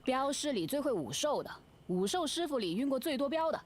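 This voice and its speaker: A woman voicing a spirited, boastful young boy escort and lion dancer in a video game, with an energetic, rhythmic delivery. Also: high-pitched, a little fast. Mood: cheeky.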